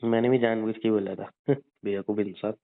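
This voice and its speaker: A man's voice: on the low side, fairly even intonation, moderate pace, vocal fry. A young man bickering and teasing casually with his girlfriend over a phone call. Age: young adult. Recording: narrow tinny phone-line sound.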